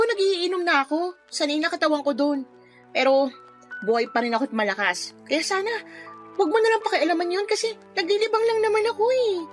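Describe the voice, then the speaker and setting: A woman's voice: sits high, fairly bright tone. A woman in a radio drama playing a bratty, whiny live-in girlfriend in her twenties who scolds and pouts. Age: 20s. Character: bratty, nagging, hard-drinking young live-in girlfriend. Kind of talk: Tagalog radio drama acting.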